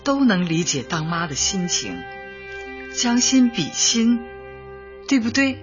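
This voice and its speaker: A woman's voice: medium pitch, sing-song, slow, dull audio, faint music behind. An actress playing a kindly elderly woman community party secretary in a Chinese radio drama, patient and coaxing. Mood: gently persuading.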